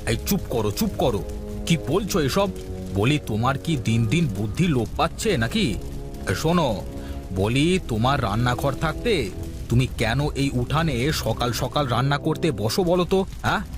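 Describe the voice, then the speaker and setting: A man's voice: mid-range voice, lively intonation, moderate pace, music in the background, close to an everyday voice. A man voicing a flustered, coaxing, henpecked village husband in a comic folk-tale cartoon, with exaggerated exclamations. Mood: exasperated.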